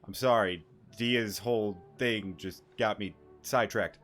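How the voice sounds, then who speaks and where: Low-voiced man doing rambling game commentary. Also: fairly bright tone. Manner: rambling, joking, halting. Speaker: gaming YouTuber. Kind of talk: casual let's-play commentary. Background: game music.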